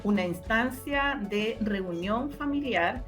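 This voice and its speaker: A woman's voice: a little high, lively intonation, takes it slowly, a bit bright, faint music behind. A woman with a Chilean accent talking reflectively and expressively in an interview, often repeating words for emphasis. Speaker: interviewee, radio drama and audio story producer. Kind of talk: conversational interview.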